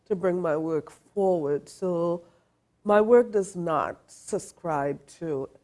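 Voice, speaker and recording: Low-voiced soft-spoken woman designer. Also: slow pace. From Trinidad and Tobago. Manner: soft-spoken, thoughtful, many ums and uhs. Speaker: fashion designer. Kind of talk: interview guest.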